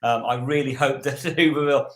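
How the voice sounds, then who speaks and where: A man chats casually as a podcast co-host in a mid-pitched voice. He rambles, doubling back on words for emphasis, and the recording is noticeably roomy and echoey. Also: fairly even intonation, brisk, fairly bright tone. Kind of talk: casual chat.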